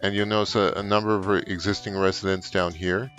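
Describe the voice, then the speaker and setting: A middle-aged man explains software step by step as an unscripted tutorial, with frequent hesitant pauses. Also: deep voice, little pitch movement, highs cut off, faint music behind. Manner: halting, frequent filled pauses. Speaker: trainer, tutorial presenter. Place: office room indoors.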